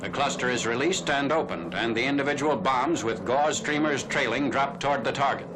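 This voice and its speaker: A noisy vintage 1940s soundtrack carries a man's voice. He narrates a military newsreel from a script. His pitch is average and his delivery is formal and factual, in the announcer manner of the period. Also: a little fast.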